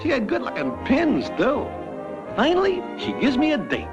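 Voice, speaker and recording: A man's voice: very high voice, wildly swooping pitch, normal speed, heavily acted. An American actor playing a brash young newcomer to the afterlife, breezily telling his life story in slang. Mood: breezy. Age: young adult. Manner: breezy, wisecracking, packed with idioms. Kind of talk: classic cartoon comic narration. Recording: dull lo-fi cartoon soundtrack, loud music.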